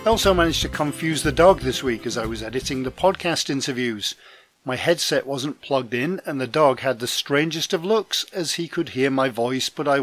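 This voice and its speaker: A man hosting a podcast news roundup, his voice deepened by a head cold, reading from a script with dry, self-deprecating asides. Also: fairly bright tone, no room sound. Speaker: podcast host and trade magazine editor. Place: home in Scotland.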